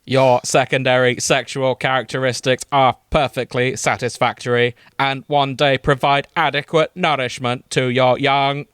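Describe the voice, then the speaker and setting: A man's voice: mid-range voice, level tone, moderate pace, few pauses, fairly bright tone, clean tone, dry recording, close to an everyday voice. A man voicing a stiff, literal, superior Dalek in a comic fan fiction reading, with formal, emphatic phrasing. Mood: stern, literal. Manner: stilted, formal, emphatic delivery. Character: male Dalek alien, stiff, literal, superior best friend.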